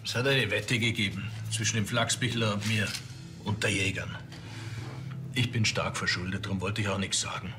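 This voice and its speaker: An actor playing an evasive, defensive middle-aged village hunter, curt under questioning. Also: male voice, on the low side, fairly even intonation, normal speed, background noise, close to an everyday voice. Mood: uneasy.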